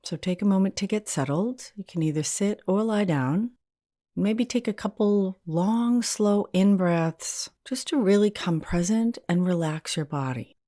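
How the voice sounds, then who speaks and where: A woman leading a guided meditation as a hypnotherapist, in a soothing, gentle and unhurried manner. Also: medium pitch, no room sound, studio quality.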